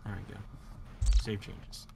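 A man's voice: unhurried, background noise. A man gaming with friends, flustered and laughing about settings and crashes. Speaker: player. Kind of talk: casual gaming chat.

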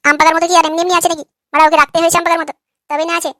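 A man acts out an irritable young cartoon husband with a high, artificially raised voice. He is crude and combative, firing words out very fast in a sharp, bright tone. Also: flat delivery, dry recording, studio quality. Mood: defensive. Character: irritable, foul-mouthed, quarrelsome young husband. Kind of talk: comic cartoon dialogue.

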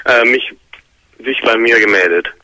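A young man calling in to a radio show on a poor phone line, talking in a quick, rambling way with many fillers. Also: mid-range voice, pushed and bright. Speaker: caller. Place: Baltic coast region. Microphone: telephone line.

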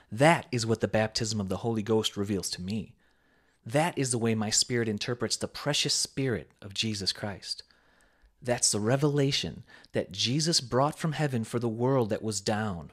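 A man reading a sermon aloud with a measured, emphatic preaching cadence. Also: mid-range voice.

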